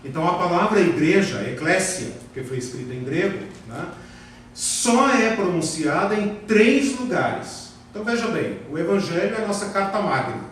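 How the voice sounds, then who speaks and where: A man's voice: sits high, lively intonation, moderate pace. A man with a Brazilian Portuguese accent teaching a theology class, often tagging questions onto his sentences and repeating words for emphasis. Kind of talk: classroom lecture. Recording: very echoey room, voice far from the mic, faint noise.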